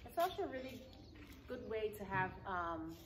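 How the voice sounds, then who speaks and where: A woman in her thirties chatting casually for her vlog outdoors, laughing often and getting lively when she tells stories. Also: medium pitch, normal speed. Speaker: vlogger. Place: outdoor courtyard. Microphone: camera mic. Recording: outdoor camera audio with noise. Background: outdoor ambience and animals.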